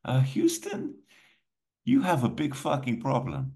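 A man voicing a smug, menacing villain who delivers threats in a deliberate, theatrical way. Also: medium pitch, wildly swooping pitch, dark tone, pitched above the performer's own voice. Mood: gleeful threat.

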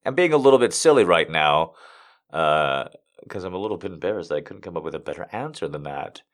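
A man's voice: deep voice, expressive, fast. A man, a voice actor, answering viewer questions in a rambling, self-deprecating way. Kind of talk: casual chat.

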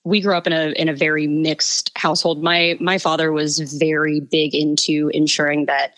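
A woman from Ohio talks as a podcast guest in an easy, relaxed way. Her voice sits very low for a woman. The recording is dry, with no room sound. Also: moderate pace, no echo. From Ohio, USA. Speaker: interview guest, model. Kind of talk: casual interview chat.

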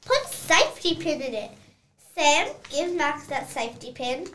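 Bossy little film director, played by a child, high bright voice, echoey room. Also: big pitch swings, moderate pace, a little hiss or hum, exaggerated voice. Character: bossy, impatient movie director of a low-budget western. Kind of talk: children's read-aloud play.

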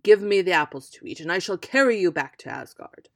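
A woman voicing a terrible male giant who demands a goddess's apples, in a forceful, demanding manner. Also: deep voice, lively intonation, moderate pace, creaky, breathy edge, no echo, clean studio sound, exaggerated voice. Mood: menacing. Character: terrible male giant in eagle form, menacing.

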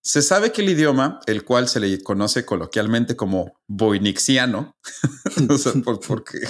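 A man's voice: medium pitch, animated, unhurried. A man, hosting a casual podcast and methodically explaining facts step by step, often restarting his phrases. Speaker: podcast host.

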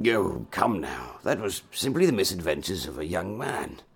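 A male actor plays a pompous Victorian English gentleman in a radio-style drama. His deep voice is husky and creaky. He delivers each line theatrically, swooping from grave musing to indignant exclamation. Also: sing-song, normal speed, exaggerated voice. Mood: haughty. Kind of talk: audio drama acting.

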